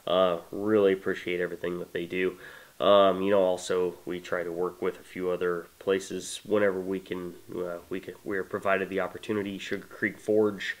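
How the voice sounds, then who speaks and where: A man's voice: sits low, moderate pace, rough recording. A man in his thirties with a congested voice from a cold, casually and enthusiastically talking to camera about a knife he made, filled with "uh" and "you know", in a small indoor room. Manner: relaxed, enthusiastic, many fillers. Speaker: knife maker presenting his knife. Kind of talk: casual product talk to camera.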